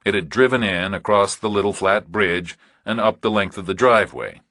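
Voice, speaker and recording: Deep, husky male thriller audiobook narrator. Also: expressive, a bit bright, dry recording. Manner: measured, clipped, dramatic phrasing.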